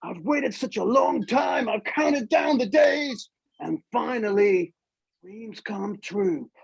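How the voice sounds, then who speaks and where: Excitable, very high-pitched puppet kid. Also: male voice, takes it slowly, gravelly, breathy edge, no echo, heavily acted. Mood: overjoyed, starstruck. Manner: exclamatory, breathlessly gushing. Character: excitable childlike puppet buddy, starstruck and gushing. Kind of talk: live puppet show character voice.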